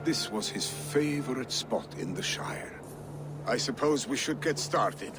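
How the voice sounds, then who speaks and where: A man's voice: medium pitch, lively intonation, measured pace, lightly acted. An actor playing a loyal, weary Viking clansman in a video game cutscene, with outdoor noise behind him. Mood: earnest, grieving.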